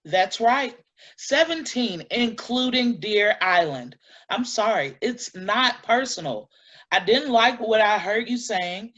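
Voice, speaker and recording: An actress playing a defiant, principled animal-rights activist in a staged play reading, blunt and combative. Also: female voice, a little high, lively intonation, takes it slowly, fairly bright tone, full character voice. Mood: firm, defensive. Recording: dull lo-fi sound.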